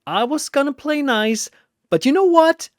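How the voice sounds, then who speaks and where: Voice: sits high, expressive, unhurried, no room sound, studio quality, pitched above the performer's own voice. A narrator voicing a manic, mocking dream demon trickster who taunts and wisecracks with cruel glee. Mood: gleeful menace.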